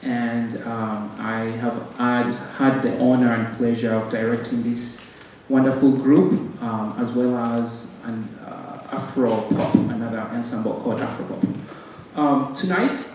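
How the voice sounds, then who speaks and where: A man with a Ugandan accent giving a halting welcome speech as director of a music ensemble, with frequent pauses and repeated words. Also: medium pitch, steady tone, darkish tone. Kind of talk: welcome speech to a concert audience. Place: concert stage in a hall. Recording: very echoey hall, distant mic, faint noise.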